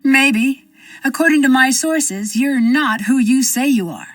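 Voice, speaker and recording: An actress voicing a confident young American amateur detective who asks direct, probing questions with dry wit. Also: female voice, sits high, measured pace, no room sound, distant mic. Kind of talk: video game voice acting.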